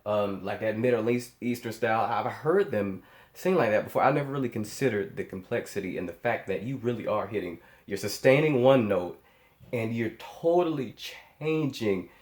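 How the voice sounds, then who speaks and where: A man's voice: fairly low pitch, lively intonation, normal speed, a little mellow. Echoey, emphatic man reacting casually. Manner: amazed, emphatic, repeats words and phrases. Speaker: host of a music reaction channel. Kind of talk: casual off-the-cuff reaction commentary.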